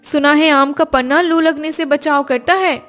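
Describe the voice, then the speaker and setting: A woman voicing a sensible, kind elder sister-in-law in a family cartoon, gentle and reassuring. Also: sits high, steady tone, moderate pace, soft background music.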